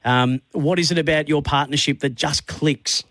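A man's voice: on the high side, fairly even intonation, normal speed, fairly bright tone, dry recording. A man hosting a radio interview, enthusiastic, asking long build-up questions with fillers. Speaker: radio host.

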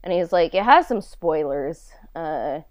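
A woman's voice: low-pitched, expressive, measured pace, a little mellow, no echo. A woman podcaster answering interview questions in casual, conversational storytelling. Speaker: podcast co-host being interviewed. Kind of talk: casual chat, telling personal stories.

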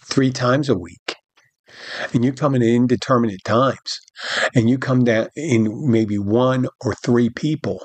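A man's voice: medium pitch, measured pace, breathy edge, dry recording. A middle-aged man hosting a podcast, telling a rambling story about his bartending shift and acting out the dialogue. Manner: rambling, animated retelling with quoted dialogue and restarts. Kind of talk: casual storytelling monologue.

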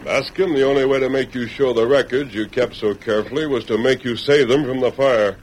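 An actor playing a calm, commanding masked lawman, in a 1940s radio drama. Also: mid-range voice, fairly quick, slightly rough, lightly acted, rough recording.

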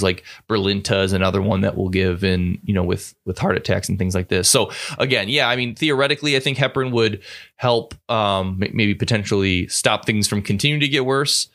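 A man's voice: lowish voice, fairly even intonation, normal speed, fairly bright tone, dry recording, studio quality. A man co-hosting a medical podcast, explaining in a casual way with lots of ums and restarts. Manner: explains at length with frequent hedging fillers. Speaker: podcast co-host.

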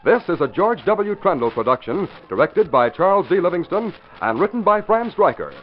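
Vintage American male radio announcer, high-pitched, scripted sponsor delivery, muffled 1940s sound. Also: very animated, normal speed, a little hiss or hum. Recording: dull old 1940s radio recording.

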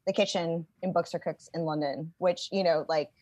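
A woman's voice: low voice, fairly even intonation, moderate pace, darkish tone, no echo. A young woman with a New York accent, co-hosting a casual podcast, laughing often and saying "like" a lot. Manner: laughing, lots of "like". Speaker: podcast co-host, food writer.

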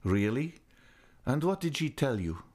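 A man's voice: medium pitch, very animated, unhurried, darkish tone, pitched above the performer's own voice. A man voicing a confused, lovesick young nobleman who speaks in a hesitant, subdued way. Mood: anxious.

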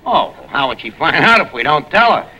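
A man's voice: on the high side, sing-song, a little fast, bright, forceful tone, breathy edge, heavily acted. An actor in a 1940s radio comedy playing a brash, scheming, slangy middle-aged man who mangles his words. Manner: wisecracking, malapropism-prone, streetwise. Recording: very noisy vintage radio recording.

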